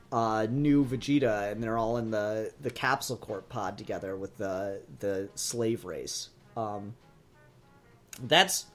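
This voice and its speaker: A man co-hosting a podcast, chatting casually and enthusiastically as a fan reviewer, with plenty of swearing. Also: mid-range voice.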